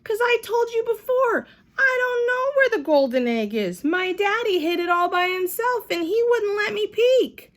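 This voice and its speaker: A woman voicing a spoiled, whiny rich little girl who boasts in a shrill voice. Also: expressive, moderate pace. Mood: anxious.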